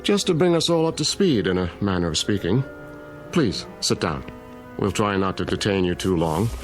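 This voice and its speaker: An unflappable small-town mayor in an audio drama. His low voice is measured and formal, every courtesy carrying a veiled threat. Faint music sits underneath. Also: sing-song. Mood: smoothly courteous.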